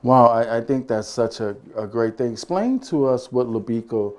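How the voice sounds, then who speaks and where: A man's voice: mid-range voice, expressive, normal speed, a little mellow. A man hosting a podcast interview, asking questions and encouraging his guest with frequent affirming murmurs. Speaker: podcast host.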